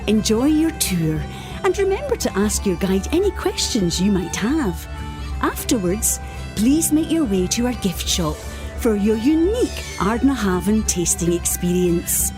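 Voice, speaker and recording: A woman's voice: mid-range voice, very animated, a little slow, music in the background. A woman playing a polished distillery presenter delivering a scripted promotional talk in an audio drama. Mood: polished, upbeat.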